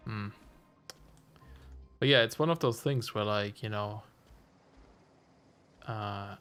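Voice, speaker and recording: Slightly hoarse male game streamer. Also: on the low side, takes it slowly, fairly bright tone, faint music behind. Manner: chatty, muses aloud with self-amused asides. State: slightly hoarse, losing his voice. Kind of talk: casual let's-play commentary.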